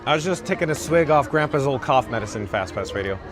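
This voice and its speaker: A man with a raspy voice hosting a casual livestream outdoors, reading chat aloud in a dry, self-mocking monotone while holding his camera rig. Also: mid-range voice, fast. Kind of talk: casual live-stream chatter. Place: outdoors on a parade route. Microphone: camera rig held by the speaker. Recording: outdoor crowd with music behind.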